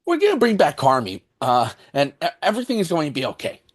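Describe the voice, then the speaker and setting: A man's voice: a little high, huge pitch swings, fast talker. A man reading an eager, stammering hanger-on. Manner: pleading, stammering. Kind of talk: amateur table read.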